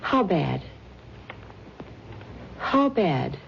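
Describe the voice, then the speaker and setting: A woman playing an anxious, disbelieving middle-aged mother in 1950s radio melodrama, speaking haltingly and repeating herself. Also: fairly low pitch, sing-song, gravelly, full character voice. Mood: alarmed. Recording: muffled vintage radio recording, faint noise.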